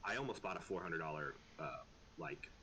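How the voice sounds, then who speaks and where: A man chatting casually as game master of an online tabletop game, often halting and repeating words. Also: low voice, animated, bright, forceful tone, slight background noise.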